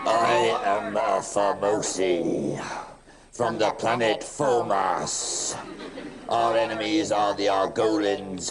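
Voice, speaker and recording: A man playing a deadpan alien assassin in a measured comedy performance for an audience. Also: lowish voice. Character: deadpan male alien assassin introducing his species. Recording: very loud audience crowd noise.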